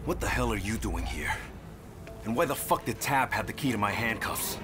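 An actor playing a wary, exasperated jailed police officer in a video game. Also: male voice, lowish voice, expressive, normal speed, over music, close to an everyday voice. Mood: angry, suspicious. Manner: urgent, incredulous. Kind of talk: naturalistic video game acting.

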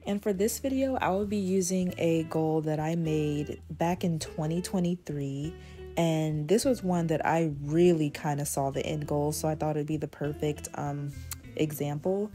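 Woman, twenties, hoarse, deep low voice, mellow tone, casual journaling vlog host. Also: faint music behind. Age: 20s. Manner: deliberately speaking in a lower register. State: losing her voice, hoarse. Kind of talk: casual talk over journaling.

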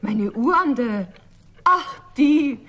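A woman's voice: high-pitched, expressive, slow pace, a bit bright, soft background music, full character voice. A woman playing a friendly, slightly puzzled lady customer in a children's radio play, polite and chatty.

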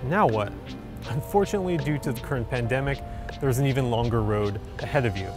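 A man's voice: medium pitch, animated, a little fast. A young man from San Francisco in his twenties, hosting a scripted explainer video outdoors and talking straight to camera in an upbeat, conversational way. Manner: upbeat, conversational, direct address. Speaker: video host. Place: outdoors on city streets. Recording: music bed underneath.

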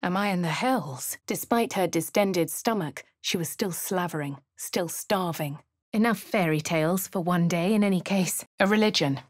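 An actress with a posh English accent playing a composed adventurer in a game, speaking in a dry, measured, lightly sardonic way. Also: female voice, lowish voice, no room sound, natural voice. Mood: wry. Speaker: player character. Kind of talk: game voice lines. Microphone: studio mic.

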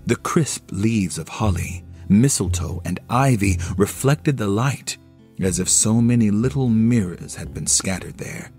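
A man narrating a classic story as a solo audio play, with theatrical, deliberate phrasing. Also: on the low side, animated, a little mellow. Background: music under the voice.

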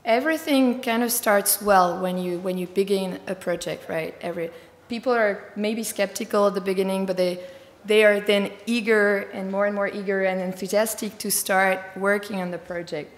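A woman with a slightly hoarse, tiring voice giving a conference talk, fluent but with many fillers. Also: mid-range voice, steady tone, normal speed. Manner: fluent, filler-heavy explaining. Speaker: conference speaker. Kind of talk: conference presentation. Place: large conference hall. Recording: echoey hall with long reverb.

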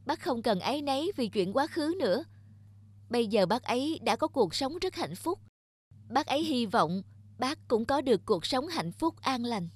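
A woman's voice: on the high side, expressive, normal speed, husky, dry recording. A woman voicing a polite, curious and caring young woman in a cartoon. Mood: gentle. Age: young adult. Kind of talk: cartoon voice acting.

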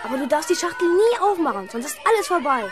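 A cocky, cunning young schoolboy in an old children's radio play. He persuades emphatically in a very high, animated voice, with a crowd of children behind. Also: fast talker, music in the background. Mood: persuasive, secretive.

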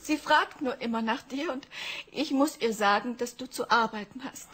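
An actress playing the neglected, plaintive wife of a busy engineer in a German radio drama, emotional and reproachful. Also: female voice, fairly high pitch, normal speed, a bit bright, slightly rough. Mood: hurt, reproachful.

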